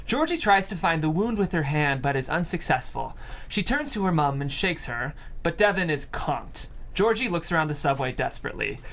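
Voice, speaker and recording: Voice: mid-range voice, big pitch swings, normal speed, a bit bright. A person reading stage directions aloud at a screenplay table read.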